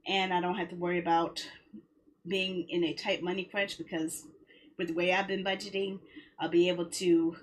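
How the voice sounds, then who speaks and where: A woman's voice: fairly low pitch, little pitch movement, moderate pace. A woman game streamer talking casually to her viewers in a rambling, conversational way. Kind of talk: casual livestream chat.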